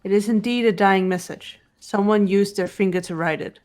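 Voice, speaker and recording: A woman's voice: mid-range voice, normal speed, darkish tone, soft background music, natural voice. A woman voicing a composed, unemotional teenage girl investigator in a visual novel game, speaking precisely and matter-of-factly. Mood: calm, instructive. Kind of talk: scripted visual novel voice acting.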